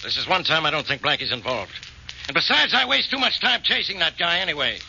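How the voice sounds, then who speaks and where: A gruff radio police inspector, impatient and blustering. The voice is sharp and high and swings around a lot in pitch. It comes through an old, muffled 1940s crime-show recording. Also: male voice, big pitch swings, fast, heavily acted. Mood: gruff, dismissive. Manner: sarcastic, blustering. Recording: old radio recording, dull, faintly noisy.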